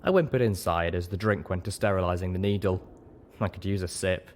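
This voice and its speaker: A man's voice: sits low, a little fast, darkish tone, slight background noise. A man reading a scripted first-person story as an audiobook narrator.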